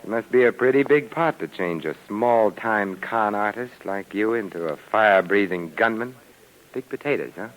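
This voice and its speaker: A man playing a suave, sardonic gentleman adventurer in a 1950s radio detective drama, urbane and coolly confident, with dry, mocking wit. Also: lowish voice, animated, moderate pace, natural voice, poor audio. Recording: vintage 1950s radio recording.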